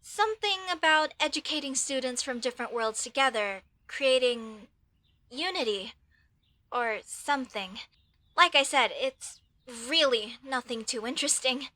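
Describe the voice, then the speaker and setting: A woman's voice: high voice, lively intonation, unhurried, bright, forceful tone, exaggerated voice. A woman voicing an earnest, apologetic young elf mage student in a comedy audio roleplay, talking in a hesitant, chatty way. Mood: explaining, sheepish. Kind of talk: comedy audio roleplay, speaking softly to the listener.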